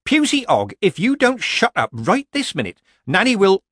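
A man's voice: high-pitched, wildly swooping pitch, normal speed, fairly bright tone, dry recording, clean studio sound, voice pushed up, exaggerated voice. A man voicing a blunt, feisty old village witch in a storybook, scolding in colloquial speech.

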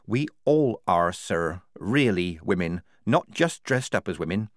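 Man as a weary, practical soldier girl, slightly raised pitch. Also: very animated, moderate pace, no echo, studio quality, close to an everyday voice. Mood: exasperated confession. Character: weary, plain-spoken young woman soldier, practical leader.

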